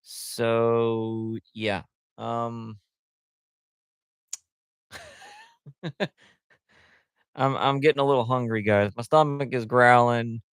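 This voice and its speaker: A man's voice: mid-range voice, fairly even intonation, very slow, pauses often, clean tone, dry recording, clean studio sound. A man hosting a casual livestream, rambling in a loose, chatty way with lots of fillers, talking into a headset mic. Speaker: livestream host.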